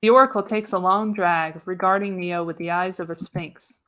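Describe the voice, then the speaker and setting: A woman's voice: fairly low pitch, fairly even intonation, normal speed, a bit bright, poor audio. A woman reading scripted stage directions as narrator in a live radio play reading. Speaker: narrator, show host.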